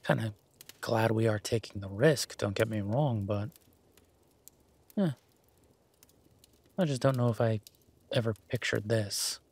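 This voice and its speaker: Husky, slow-spoken werewolf boyfriend, acted by a man. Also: lowish voice. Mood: reflective, sincere. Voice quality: husky, breathy. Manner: gentle, halting, murmured with long pauses. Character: affectionate, laid-back male werewolf boyfriend, content and tender. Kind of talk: intimate roleplay audio, slow soft conversational acting.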